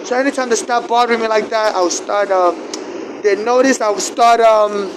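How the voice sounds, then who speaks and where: A man with a Nigerian accent, whose first language is not English, tells his story in a fairly high voice. He stumbles, repeats words and restarts his sentences as he goes. Steady traffic noise runs loud behind him. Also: fairly quick. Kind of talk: personal grievance account.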